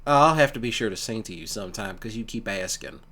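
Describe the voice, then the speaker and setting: A man's voice: on the low side, expressive, sharp tone. A man playing an easygoing, affectionate country boyfriend in an ASMR roleplay, chatting casually and teasingly with his sweetheart. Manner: casual, teasing, folksy, with pauses. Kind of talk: ASMR boyfriend roleplay, one-sided conversation.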